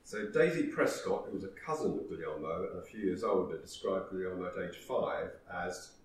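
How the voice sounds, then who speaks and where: A man giving a historical talk, telling the story in a measured way. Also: sits low, animated, slight background noise. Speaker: lecturer. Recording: roomy echo, distant mic.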